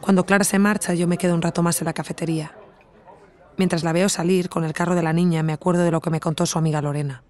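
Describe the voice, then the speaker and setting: An actress playing an introspective podcast investigator with an accent from Spain, narrating in a measured, reflective first person for an audio drama. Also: female voice, on the low side, steady tone, darkish tone, lightly acted. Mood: pensive, questioning.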